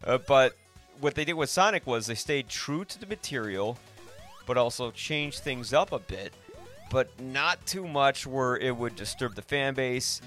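A man's voice: mid-range voice, expressive, a little slow, a bit bright. Male podcast host thinking aloud, music behind. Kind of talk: casual film discussion.

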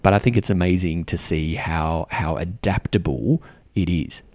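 A man's voice: low-pitched, fairly even intonation, normal speed, a little mellow, no room sound. A middle-aged Australian man with a mild Australian accent, hosting a solo podcast in a reflective, rambling way full of ums and uhs. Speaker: podcast host and diplomatic mentor. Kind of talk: casual solo podcast talk.

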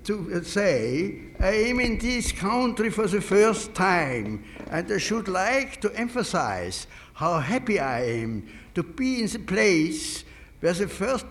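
Older man, Austrian German accent, native German speaker, high voice, very slow, halting careful delivery. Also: big pitch swings, fairly bright tone, slight background noise. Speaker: conference speaker. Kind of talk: formal prepared speech.

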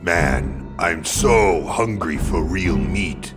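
Man as a grim, deep-voiced, ponderous barbarian warrior. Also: lively intonation, extremely slow, heavily acted. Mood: deadpan, weary. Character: grim, solemn male barbarian warrior working in a burger kitchen. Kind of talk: comic radio drama acting. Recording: loud music under the voice.

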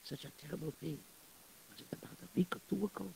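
An actor playing a gossipy, sighing male synagogue caretaker with a husky voice. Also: high voice, lively intonation, normal speed, warm tone, slight background noise, rough recording. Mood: gossiping. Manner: sighing, gossiping, fussing over tips.

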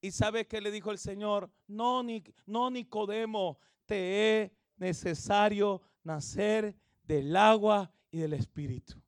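A man, preaching an evangelistic sermon and leading the congregation in reading, with phrasing that swells into shouts. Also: sits high, smooth, no room sound, studio quality. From El Salvador. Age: 30s. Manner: calls everyone "hermano", drawn-out emphatic phrasing. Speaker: pastor.

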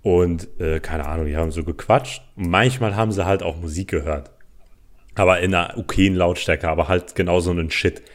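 A man's voice: sits low, brisk. A young man chatting as a podcast co-host, telling stories and ranting in colloquial, exasperated language with some swearing. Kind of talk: casual chat, anecdotes and ranting.

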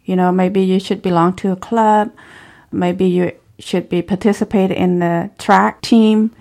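Woman, middle-aged, Cambodian accent, slightly low voice, earnest and encouraging, reflective interview talk. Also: fairly even intonation, measured pace, creaky, a little mellow. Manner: earnest, encouraging, with simple non-native grammar. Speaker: former refugee and speaker.

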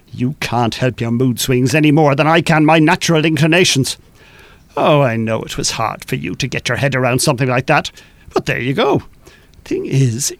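A controlling, abusive husband in a radio drama. His voice sits fairly high for a man, in a fairly dry sound. He taunts and bullies with sardonic, sneering menace. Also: fairly bright tone, no room sound. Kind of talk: live radio play acting.

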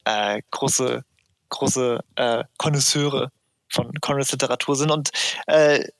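A man at a mid pitch hosts a podcast, feeling his way forward with pauses and little fillers. The sound is close and dry, with no room echo. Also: vocal fry. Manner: hesitant, many fillers. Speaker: podcast host. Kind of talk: podcast commentary.

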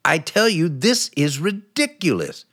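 Exasperated married man, very animated intonation, unhurried pace, old radio comedy. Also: a little high, slightly rough, exaggerated voice. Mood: indignant. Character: exasperated, flustered husband. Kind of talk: 1940s radio comedy acting.

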